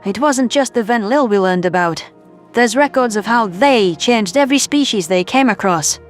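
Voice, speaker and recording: An earnest female researcher, acted by a man speaks emphatically in a sci-fi audio drama. Soft music plays behind the voice. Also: sits high, expressive, normal speed. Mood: grim. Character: serious, emphatic woman scientist. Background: faint music.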